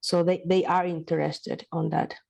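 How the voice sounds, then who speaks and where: A woman's voice: lowish voice, little pitch movement, moderate pace, vocal fry, darkish tone. A woman from Mexico speaking in an online workshop discussion, hesitant, with many restarts and fillers. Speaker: workshop participant, university staff.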